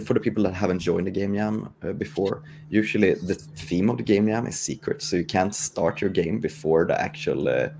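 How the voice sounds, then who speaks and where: A man's voice: low voice, dark tone. A man with a Swedish accent, chatting casually on a panel as a guest developer. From Sweden. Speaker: guest developer promoting a game jam. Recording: faint background noise.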